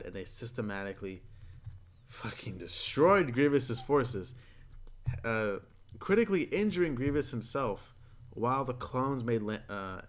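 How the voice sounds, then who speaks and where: A man hosting a casual podcast, retelling stories in a rambling, profane, animated way. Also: medium pitch. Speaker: podcast host.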